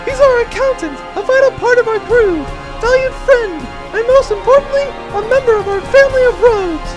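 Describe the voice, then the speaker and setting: A man's voice: very high-pitched, fairly quick, music in the background, voice pushed up. A man voicing a fierce, loyal young woman pilot in a comic audio drama, earnest and defiant. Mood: earnest, determined.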